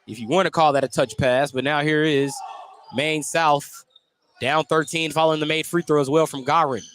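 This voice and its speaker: Male play-by-play radio announcer, basketball. Clearly high voice, detailed calls that lift on big plays, faint gym noise behind. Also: moderate pace. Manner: excited on plays, detailed descriptive calling. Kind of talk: live high school basketball radio commentary.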